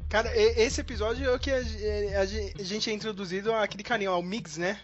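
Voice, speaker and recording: A man with a Brazilian Portuguese accent, chatting casually on a podcast, animated and laughing easily. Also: high voice, brisk. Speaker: podcast co-host. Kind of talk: casual chat. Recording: muffled recording with faint music.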